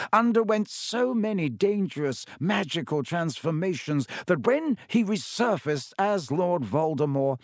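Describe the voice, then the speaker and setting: Brief: kindly elderly wizard read by a male narrator, soft and measured, a bit raised in pitch. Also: no room sound, clean studio sound. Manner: gentle, measured storytelling. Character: wise old male headmaster, gentle and calm.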